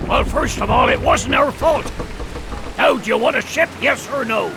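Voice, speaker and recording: A man playing a whiny, haggling pirate captain in a cartoon game, gravelly and defensive. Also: very high-pitched, animated, normal speed, noisy background, full character voice. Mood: indignant. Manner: defensive, blustering. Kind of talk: video game cartoon acting.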